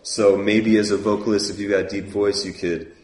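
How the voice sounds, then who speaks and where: A man's voice: on the low side, little pitch movement, fairly quick, darkish tone, reverberant. A man who leads worship, talking casually and loosely about song keys, with many fillers. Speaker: worship leader teaching. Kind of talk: casual teaching talk.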